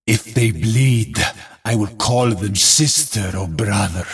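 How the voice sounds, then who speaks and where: An actor playing a battle-hardened, stoic warrior in a video game, declaiming poetic lines solemnly in a gritty, husky voice. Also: male voice, lowish voice, slow pace, heavily acted. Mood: grave, resolute.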